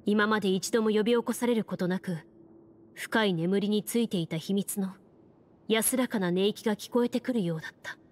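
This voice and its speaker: An actress playing a serious, composed game master, narrating a fantasy quest in measured storytelling for a video game. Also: female voice, mid-range voice, close to an everyday voice. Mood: composed narration. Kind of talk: Japanese game voice acting, scripted narration.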